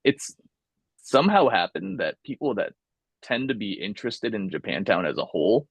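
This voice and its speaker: A man's voice: fairly low pitch, very animated, normal speed, breathy edge, no room sound. A young man from California, talking casually and thoughtfully in an oral history interview, with many fillers and restarts.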